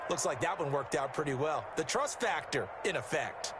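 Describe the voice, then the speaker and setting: A man's voice: on the high side, expressive, normal speed, lightly acted. A man voicing a thoughtful color commentator in an American football video game, explaining conversationally in an American accent. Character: male football analyst, thoughtful former-player type. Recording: very noisy gameplay capture.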